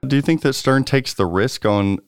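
A man's voice: mid-range voice, moderate pace, smooth, no room sound, clean studio sound. A man co-hosting a podcast, chatting casually and rambling with frequent restarts. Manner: rambling, lots of restarts and fillers. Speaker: podcast co-host. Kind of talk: casual chat about pinball news.

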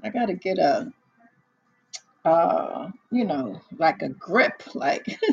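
A middle-aged woman hosting a casual livestream chat, rambling and chatty with lots of fillers and laughs, a little hoarse as she loses her voice. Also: fairly low pitch, animated, takes it slowly, a little mellow, no room sound, highs cut off. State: losing her voice, slightly hoarse. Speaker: livestream host. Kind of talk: casual chat with live viewers.

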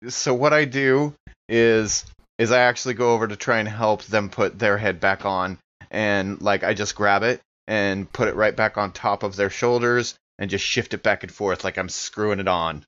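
Man, tabletop game player, lowish pitch, casual role-play talk, lo-fi muted recording. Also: fairly even intonation, normal speed, a bit bright. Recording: slightly dull, lo-fi audio.

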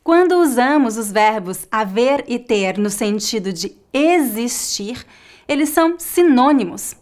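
A woman with a Brazilian accent teaching a Portuguese lesson in a slow, clear, scripted way. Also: fairly high pitch, lively intonation, a little mellow. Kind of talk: scripted online language lesson.

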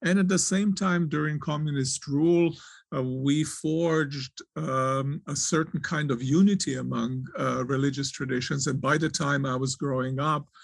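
A man answers interview questions slowly and reflectively. His voice sits a little high, with a gravelly edge, and it is recorded close and dry, with no room sound. He often pauses and restarts phrases as he works through his thoughts. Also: fairly even intonation, no room sound. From Croatia. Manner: thoughtful, halting, with frequent restarts. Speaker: author and theologian, interview guest.

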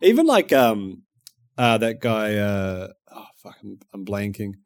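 A man's voice: fairly low pitch, very animated, unhurried, no room sound, studio quality. A man with a New Zealand accent, chatting as a podcast guest, swearing often and laughing a lot. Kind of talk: casual chat.

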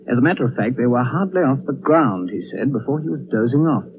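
A man playing a sober gentleman recounting a strange tale in measured, understated 1930s radio drama style. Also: medium pitch, expressive, noisy background, natural voice. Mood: grave, reflective. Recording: muffled vintage 1930s radio recording.

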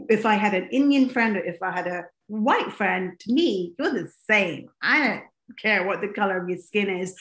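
A middle-aged woman shares her story openly and sincerely. Her voice sits at an ordinary pitch. The sound carries a noticeable room echo. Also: fairly bright tone. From raised in England. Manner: earnest and open, speaks frankly. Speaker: life coach and podcaster, guest. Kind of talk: interview storytelling. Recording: echoey room.

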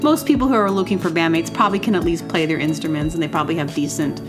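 A woman's voice: sits low, fast talker. A woman hosting a podcast, talking casually and rambling with lots of fillers and restarts. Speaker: podcast host. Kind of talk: casual personal monologue. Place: temporary home setup. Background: music.

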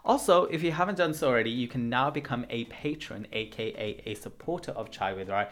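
A man hosting a podcast in a voice of ordinary pitch. He keeps his delivery upbeat and bouncy, with pitch swinging up and down, first through a scripted intro and then in friendly back-and-forth with his guest. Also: animated, a little fast, fairly bright tone. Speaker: podcast host. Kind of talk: podcast intro and interview chat.

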